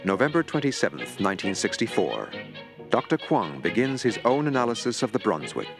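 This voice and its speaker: A man narrating a mock documentary in a measured, formal, newsreel-like way. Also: on the low side, big pitch swings, loud music behind the voice. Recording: narration over a clear film score.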